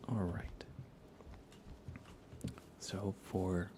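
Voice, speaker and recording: A man speaking softly and unhurriedly, often half-whispering, as he rambles through a live ASMR gaming stream. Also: low voice, little pitch movement, drawn-out words, noisy background. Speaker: livestream host. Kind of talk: soft-spoken ASMR game livestream chat.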